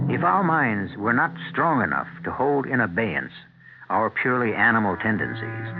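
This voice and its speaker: A man playing an ominous, philosophizing radio mystery host, narrating in a measured, grandiose, theatrical manner. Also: lowish voice. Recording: muffled 1970s radio recording with music.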